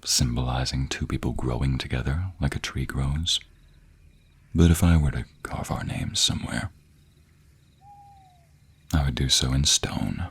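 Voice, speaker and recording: A man playing a devoted, protective vampire boyfriend in a romantic audio roleplay, husky and breathy, speaking in ornate old-fashioned phrases close to a binaural mic. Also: fairly even intonation, a little slow, pauses often, heavily acted. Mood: devoted, earnest. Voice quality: low, husky, breathy, often dropping to a whisper. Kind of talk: romantic audio roleplay acting, addressing the listener intimately. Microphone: close binaural microphone.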